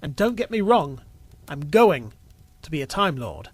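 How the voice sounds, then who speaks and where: Guy doing a young time lord type, earnest n a bit stubborn, slightly high lively voice, audio drama. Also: unhurried, husky, dry recording, heavily acted. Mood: defiant, determined. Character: young male Time Lord, defiant, earnest, unsure of himself. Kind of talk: audio story character acting.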